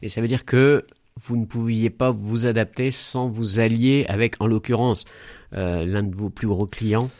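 A man's voice: on the low side, steady tone, unhurried, darkish tone, no room sound. A man interviewing a company executive, asking prepared, probing questions.

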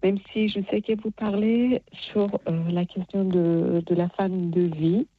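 A woman from Iran calling a radio show by phone, earnest and halting, repeating phrases as she goes. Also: fairly low pitch, fairly even intonation. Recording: tinny narrow phone line.

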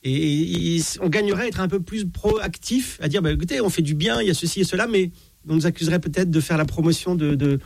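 Fast-talking husky man, a priest. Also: on the high side, no room sound. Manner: animated, stumbling restarts, anecdotal. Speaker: priest guest. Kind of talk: casual talk show conversation.

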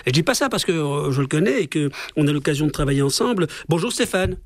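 A man's voice: fairly high pitch, expressive, fast, a little hiss or hum. A man hosting a food radio show, chatty and teasing as he leads the interview. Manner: chatty, teasing, leading questions. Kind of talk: radio interview, casual chat.

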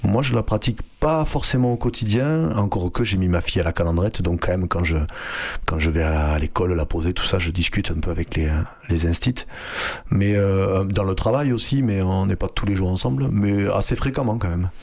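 Low-voiced hesitant man being interviewed. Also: a little fast, creaky, a little mellow. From France (Hérault, Occitanie). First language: Flemish. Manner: hesitant, many fillers and restarts. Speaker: artistic director, guest. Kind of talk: radio interview.

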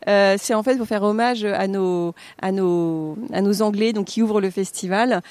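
A woman's voice: mid-range voice, moderate pace, a little mellow, no room sound. Hesitant woman festival director, very dry. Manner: hesitant, many fillers and restarts. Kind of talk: radio interview.

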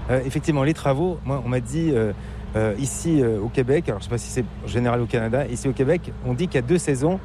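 A man from France with a French accent, interviewing casually, curious and joking, often halting mid-sentence. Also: medium pitch, noisy background.

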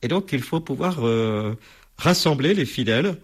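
A man's voice: mid-range voice, animated, normal speed, breathy edge. A man being interviewed as a bell expert, explaining at length with many hesitations and restarts.

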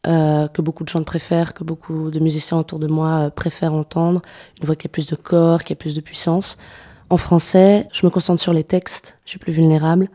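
A young woman singer-songwriter answering interview questions, speaking softly with many hesitations and restarts. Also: low-pitched. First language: French. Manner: soft-spoken, hesitant, many fillers and restarts. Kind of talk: radio interview conversation.

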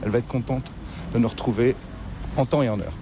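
A man's voice: mid-range voice, quick pace. A man with an accent from France, chatting casually and telling anecdotes outdoors in the city. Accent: French from France. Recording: faint outdoor city noise. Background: faint street ambience, vehicles.

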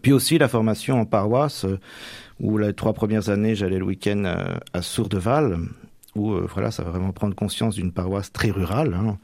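A middle-aged man from Normandy giving reflective, halting interview answers, often tagging the ends of his phrases. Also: fairly low pitch, vocal fry, a little mellow, no room sound. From Manche, Normandy, France. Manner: hesitant, halting, frequent tags at phrase ends.

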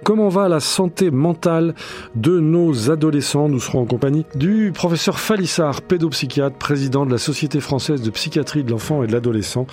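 A man reads a trailer for an upcoming program in a promotional way. His voice is fairly high. Soft music sits behind him. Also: brisk. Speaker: program announcer. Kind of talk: scripted radio trailer.

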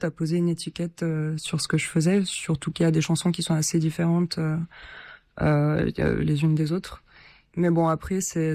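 A woman from Lithuania, a singer-songwriter, answering radio interview questions in a shy, soft-spoken and hesitant way with many fillers. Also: on the low side, no room sound.

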